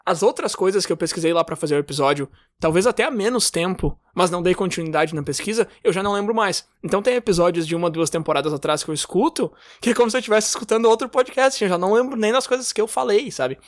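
A man's voice: sits high, quick pace, few pauses, no echo, studio quality. A man, co-hosting a podcast in casual chat, reasoning aloud with "sabe?" tags. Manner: talks in long reasoning runs with many "cara" and "sabe". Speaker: podcast co-host.

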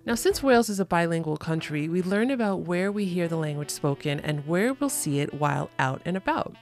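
A woman hosting a language podcast, reading her scripted introduction in an upbeat, clearly spoken way before she starts the interview. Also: on the low side, animated, fairly quick, darkish tone, soft background music. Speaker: podcast host.

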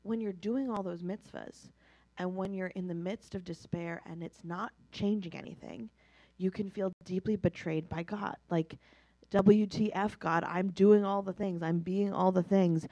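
An American woman talks through her thoughts in a study-class discussion. Her delivery is conversational, personal and emphatic, with a medium pitch. The sound is dry, with very little room around the voice. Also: fairly even intonation, measured pace, dark tone, no echo, dull audio. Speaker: participant in a Talmud class discussion. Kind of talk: reflective spoken discussion in a religious study class.

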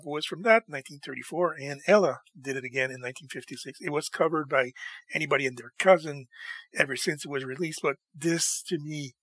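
A man hosting a music show in a casual solo monologue, enthusiastic and chatty, his voice slightly hoarse from talking. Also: on the high side, a bit bright, dry recording. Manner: enthusiastic and chatty, with frequent laughs.

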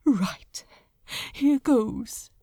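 A woman voicing a flustered, lovestruck male angel with a halting, formal and earnest way of speaking, in a storybook reading. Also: high-pitched, mellow, no room sound, studio quality, full character voice. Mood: nervous, tender. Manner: halting, vehement, nervously formal.

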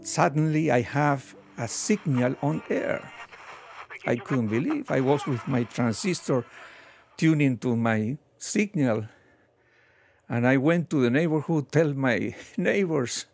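An older man from Argentina, recounting his childhood as a guest in an interview with soft laughs. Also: mid-range voice, expressive, gravelly. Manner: unhurried, warm recollection with soft laughs. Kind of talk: interview storytelling.

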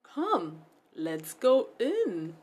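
A woman's voice: medium pitch, huge pitch swings, very slow, darkish tone, slightly rough, voice pushed down, full character voice. A woman playing a friendly, encouraging schoolteacher of small children in a storybook, cheerful and inviting. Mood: welcoming. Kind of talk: children's storybook read-aloud.

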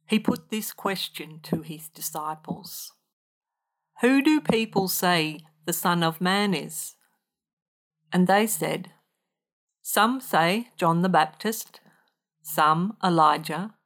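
A woman reading a gospel passage aloud as a scripture reader. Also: fairly low pitch.

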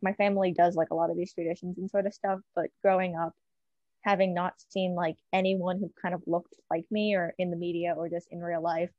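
A woman's voice: lowish voice, no echo, dull audio. A young woman, speaking casually in a student discussion.

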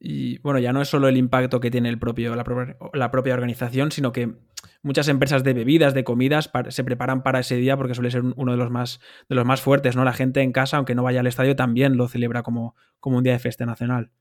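Host, even level voice. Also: male voice, medium pitch, quick pace, no room sound, studio quality. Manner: affirming listener, short backchannels. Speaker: podcast host. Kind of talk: podcast interview.

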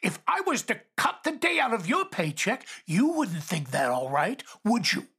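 Miserly old boss, contemptuous, curt, forceful tone, very animated pitch, radio play. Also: moderate pace, slightly rough, full character voice. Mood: grudging, sarcastic. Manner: curt, sneering, dismissive. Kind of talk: comedic radio drama acting.

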